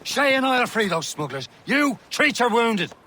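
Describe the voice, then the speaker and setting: A man voicing a brisk, good-natured seafaring fighter with a folksy, commanding manner in a video game. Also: extremely high pitch, very animated, sharp tone, exaggerated voice. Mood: urgent, firm. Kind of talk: video game cutscene acting.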